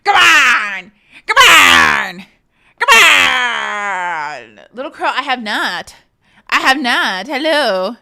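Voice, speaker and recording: A young woman with a bright, somewhat high voice chats loosely with her live audience, full of fillers, restarts and casual swearing. She reads comments aloud and answers them in an easygoing way. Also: drawn-out words, gravelly. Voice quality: says her voice is fairly low and relaxed when tired. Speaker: streamer.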